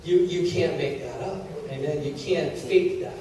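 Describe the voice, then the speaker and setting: Middle-aged man, preacher, mellow slightly high voice, conversational, big echoey hall, far-off mic. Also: unhurried, slight background noise. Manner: conversational preaching, checks in with "Are you with me?" and "okay?". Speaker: church teacher. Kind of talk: sermon teaching. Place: large church hall, indoors. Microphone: handheld mic, filmed from a far camera.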